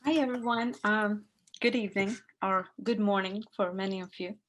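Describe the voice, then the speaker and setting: A woman's voice: mid-range voice, steady tone, normal speed, fairly bright tone. A woman from India who works as a librarian, introducing herself on an online panel over a video call, hesitant and full of restarts. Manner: hesitant, with many restarts and fillers.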